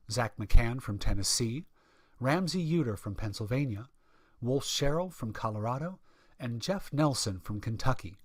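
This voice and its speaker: A man's voice: medium pitch, no room sound. Male vintage radio host, emphatic scripted announcer read, mid-range voice, close dry acoustic.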